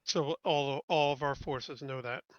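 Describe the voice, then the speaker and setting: A man's voice: medium pitch, steady tone, measured pace, no room sound, muffled recording. A man talking casually as a player at a tabletop game.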